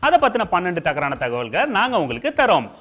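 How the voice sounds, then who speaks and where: A man's voice: on the high side, sing-song, fast, fairly bright tone. A man with a Tamil Nadu accent hosting an informational TV show, explaining things conversationally and speaking directly to viewers. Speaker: TV show host. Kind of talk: informational presenting in colloquial Tamil with comic asides.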